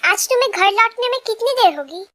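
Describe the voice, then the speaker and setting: A woman voicing a boatman's homely wife in a story, coaxing and gently instructing. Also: extremely high pitch, animated, fairly quick, voice pushed up.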